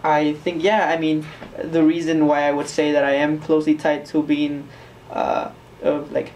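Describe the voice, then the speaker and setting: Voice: mid-range voice, flat delivery, normal speed, a little mellow, distant mic, slight background noise, poor audio. A young adult from Mexico, answering interview questions in a reflective, rambling way with many fillers.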